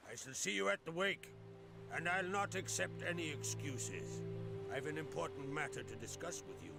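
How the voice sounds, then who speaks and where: An actor playing a proud, commanding sea-clan jarl who speaks in a formal, declamatory way. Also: male voice, lively intonation, moderate pace, creaky, over loud music. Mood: hearty. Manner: declamatory, booming, formal speech. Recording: game cutscene with loud score.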